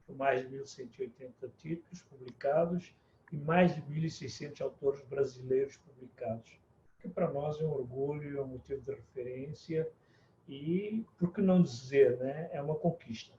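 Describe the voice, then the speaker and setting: A middle-aged man from Mozambique, a book publisher, giving measured, formal interview answers over a video call. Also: mid-range voice, warm tone, slight background noise. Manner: formal and measured, building points in lists. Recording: echoey room, dull lo-fi sound.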